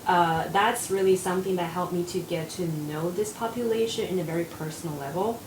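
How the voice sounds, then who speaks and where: A woman's voice: fairly low pitch, a little fast. Young Taiwanese woman counselor, earnest. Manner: earnest, with many fillers. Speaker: mental health counselor. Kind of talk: casual panel discussion. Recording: echoey room with audible noise.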